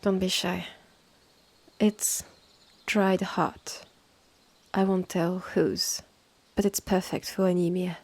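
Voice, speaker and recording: A woman with a French accent playing a calm, protective immortal healer in an ASMR roleplay, soothing and often whispering. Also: fairly low pitch, slow, pauses often, no room sound. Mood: caring, wry.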